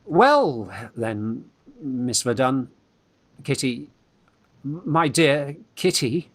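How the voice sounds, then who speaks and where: An actor playing a flustered young Victorian gentleman in a radio farce, British, stammering and exclamatory, eager in courtship and bossy with friends. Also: mid-range voice, big pitch swings, drawn-out words, exaggerated voice. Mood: nervous, wooing. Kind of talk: stage farce acting for radio play.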